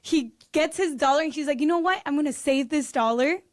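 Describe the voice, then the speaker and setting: Young woman from peru, high voice, bubbly, storytelling, dry close sound. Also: brisk, no echo. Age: 20s. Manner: bubbly, laughs often, storytelling. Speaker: guest. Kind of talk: casual interview chat.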